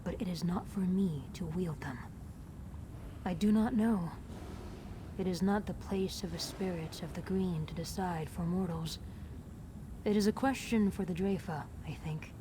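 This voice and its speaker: A woman voicing a gentle, wise nature spirit with measured, formal, reverent speech in a fantasy video game. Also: lowish voice, lightly acted. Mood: thoughtful, reverent. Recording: game ambience noise behind voice.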